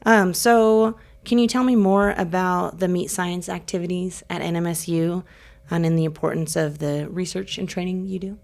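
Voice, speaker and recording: A woman's voice: lowish voice, fairly even intonation, moderate pace, creaky, darkish tone. A woman hosting an interview podcast, asking questions in a friendly, conversational way. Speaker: podcast host.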